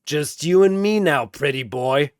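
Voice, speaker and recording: A man voicing a gruff, defiant, feral brawler who taunts and growls in an animated story. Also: fairly high pitch, a little slow, no echo, studio quality. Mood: taunting.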